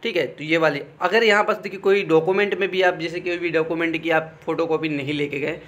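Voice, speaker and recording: A man's voice: fairly high pitch, fairly even intonation, fast, a bit bright. A young man, explaining things informally to students in a conversational way, often checking in as he goes. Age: young adult. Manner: conversational, repeatedly checks in with "okay". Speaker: exam guidance YouTuber.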